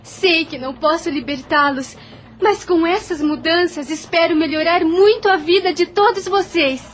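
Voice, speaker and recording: A woman's voice: very high voice, normal speed, faint music behind. A woman playing a kind, resolute young plantation lady in an audio drama, earnest and emotive, with a Brazilian accent.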